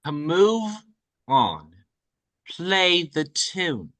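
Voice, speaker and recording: A woman acts out a teenager solemnly reading a cryptic riddle in a dramatic script reading. The delivery is extremely slow, halting and portentous, pitched low. The sound is dry and close. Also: sing-song, a bit bright, no echo, heavily acted. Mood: ominous, halting.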